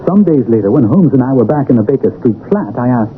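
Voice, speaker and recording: An actor playing a Victorian gentleman, the detective's puzzled and admiring companion, narrating and talking in a radio mystery drama. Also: mid-range voice, expressive, quick pace, a little hiss or hum, close to an everyday voice. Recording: muffled old radio broadcast.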